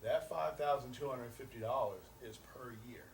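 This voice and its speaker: A man's voice: medium pitch, moderate pace, gravelly. A man being interviewed as a company representative, explaining things earnestly and promotionally and repeating his key points. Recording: roomy echo, distant mic, faint noise.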